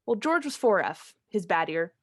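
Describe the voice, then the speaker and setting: A woman's voice: medium pitch, very animated, moderate pace. A woman playing a knowing heavenly storyteller in a radio play, narrating in a conversational way. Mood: matter-of-fact.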